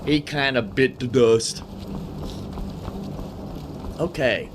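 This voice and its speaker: Slow-talking man gaming over background noise. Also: mid-range voice. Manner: folksy, rambling, explanatory, talking to himself and game characters. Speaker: gaming host. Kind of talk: casual gameplay commentary.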